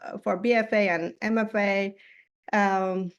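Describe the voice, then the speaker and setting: A woman from Iran, a children's book illustrator, answering interview questions with many pauses and fillers. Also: medium pitch, fairly even intonation, clean tone, muffled recording. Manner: hesitant, many ums and uhs. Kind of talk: casual interview.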